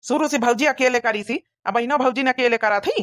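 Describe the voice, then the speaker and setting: A woman's voice: fairly high pitch, brisk, natural voice. A woman voicing a nagging but fair-minded young village husband in an Awadhi cartoon comedy, with a rustic, scolding manner. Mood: annoyed.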